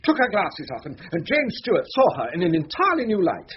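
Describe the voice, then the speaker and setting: Swooping, flirtatious posh English barrister. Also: male voice, high voice, slightly rough, exaggerated voice. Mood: oily flirting. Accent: upper-class English. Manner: oily, gushing, drawn-out flattery. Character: flirtatious, pompous middle-aged male barrister, smooth and anxious. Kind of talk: British radio comedy-drama acting. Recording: dull lo-fi radio recording, background noise.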